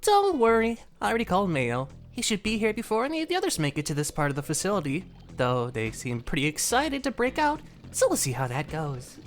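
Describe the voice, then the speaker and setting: A woman's voice: mid-range voice, huge pitch swings, brisk, few pauses, faint music behind. A woman voicing a playful, wicked male jester who speaks in a sing-song, teasing, theatrical way. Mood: cheerful.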